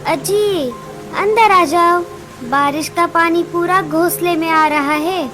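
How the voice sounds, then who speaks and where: A woman voicing a sharp-tongued, nagging female bird, a wife and mother, in a cartoon. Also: extremely high pitch, fairly even intonation, slow pace, over music. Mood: urgent. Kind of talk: Hindi cartoon dialogue acting.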